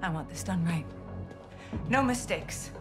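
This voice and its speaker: A composed middle-aged American woman running intelligence in a military game. Her voice is lowish and measured, clipped and businesslike. Loud music plays underneath. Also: animated, echoey room. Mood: firm. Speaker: intelligence station chief. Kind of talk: cinematic game dialogue and mission briefing.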